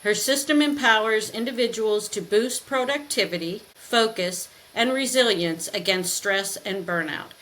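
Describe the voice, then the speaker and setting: A woman real estate agent co-hosts a podcast, reading the intro and the guest's bio steadily from a script. Her pitch is middling for a woman. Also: measured pace, few pauses, fairly bright tone, rough recording.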